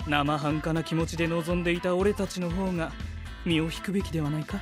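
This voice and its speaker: An actor playing a cynical, analytical young knight who talks in stiff deadpan phrases, in an anime audio drama. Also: male voice, a little high, a little fast, music in the background, natural voice. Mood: dry. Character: coolly logical, cynical young fantasy knight, scientific observer.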